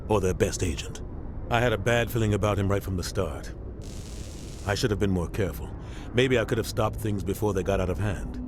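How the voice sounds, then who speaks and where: Veteran male captain, game drama, actor in role: weary, embittered, low register, clearly noisy ambience. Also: fairly quick, lightly acted. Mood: bitter. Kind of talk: video game voice acting.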